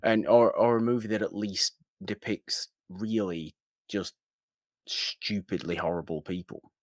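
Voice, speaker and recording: A man co-hosting a podcast, giving casual film commentary in deliberate, halting, emphatic phrases. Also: on the low side, fairly even intonation, no echo, studio quality. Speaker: podcast co-host.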